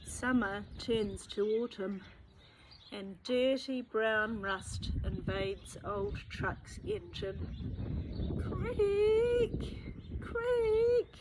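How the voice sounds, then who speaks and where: A woman reading a children's story aloud expressively, with deliberate, dramatic phrasing. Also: medium pitch, steady tone, noisy background. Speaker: storybook reader.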